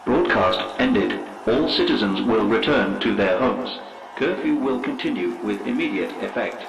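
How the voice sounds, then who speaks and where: An actor playing a stern, impersonal dystopian broadcast announcer in an audio drama, formal, clipped and commanding. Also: male voice, on the low side, animated, a little slow. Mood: stern, official. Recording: echoing public-address system under loud music.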